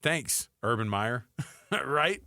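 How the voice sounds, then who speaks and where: A man's voice: medium pitch, very animated, very slow, pushed and bright, dry recording, clean studio sound. A man co-hosting a sports radio show, talking casually and animatedly, acting out what others might say.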